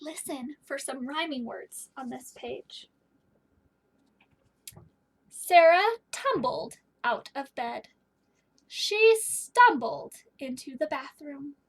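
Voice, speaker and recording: A woman teacher reading a story aloud to children, pausing to put questions to her listeners. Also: sits high, lively intonation, poor audio.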